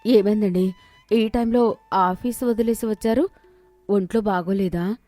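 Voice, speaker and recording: A woman voicing a gentle, sensible and patient village housewife who speaks politely in an animated moral story. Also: medium pitch, measured pace, no echo, soft background music, natural voice. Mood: worried.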